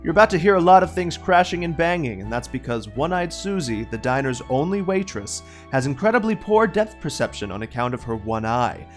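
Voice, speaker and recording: A man's voice: a little high, fairly quick, hardly any pauses. A man playing the wry narrator of a comic detective audio play, mocking and self-aware in his delivery. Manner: sardonic, self-aware, animated. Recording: faint guitar music behind.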